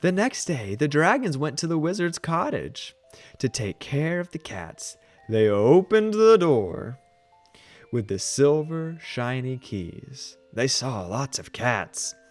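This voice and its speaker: A man reading a children's storybook aloud as an animated narrator. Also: medium pitch, slow pace, soft background music. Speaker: children's book reader.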